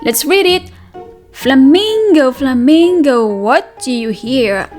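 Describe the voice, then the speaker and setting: High, animated woman teaching young children. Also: slow pace, faint music behind. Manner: sing-song, addresses small children with questions and repetition. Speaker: preschool teacher and read-aloud host. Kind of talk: interactive children's read-aloud lesson.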